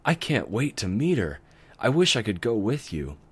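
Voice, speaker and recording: A man's voice: fairly low pitch, very animated, moderate pace, breathy edge, pitched above the performer's own voice. A man voicing a cheeky, eager young male baby dragon assistant in a boyish voice.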